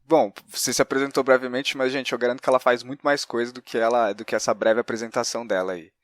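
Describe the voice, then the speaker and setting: Man, Brazilian accent, podcast host, conversational interviewing, mid-range pitch, very dry recording. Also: fairly even intonation, fast, no room sound.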